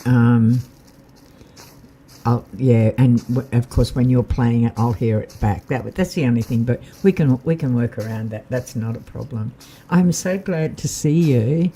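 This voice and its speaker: A man with a slightly croaky voice, chatting casually and thinking aloud while he live-streams a game. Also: medium pitch, dark tone, slight background noise.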